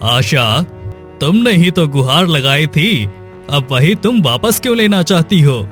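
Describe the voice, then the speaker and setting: A man's voice: fairly high pitch, animated, a little slow, a bit bright, faint music behind. A man voicing a stern, formal king in a cartoon moral story. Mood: grave. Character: stern, weighty king holding court.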